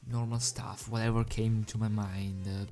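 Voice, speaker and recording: A man who is not a native English speaker, reading and commenting as an amateur in a halting, giggly, self-deprecating way. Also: fairly low pitch, flat delivery, a little mellow. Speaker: amateur fan fiction reader. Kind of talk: story reading with casual commentary. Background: background music.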